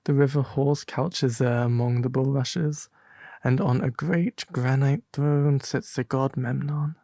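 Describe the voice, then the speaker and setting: A man voicing a kindly, earnest little swallow in a storybook, soft and breathy, wistful and gentle. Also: medium pitch, steady tone, unhurried, no room sound, studio quality, natural voice. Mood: dreamy.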